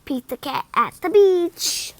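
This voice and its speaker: A woman reading a children's picture book aloud in an expressive, deliberate manner. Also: very high-pitched. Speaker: read-aloud storyteller.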